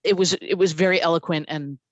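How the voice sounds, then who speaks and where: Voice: medium pitch, fairly even intonation, a little fast, dry recording, lo-fi sound. A presenter at a committee meeting speaking on a video call, chatty and informal with lots of fillers. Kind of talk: meeting discussion.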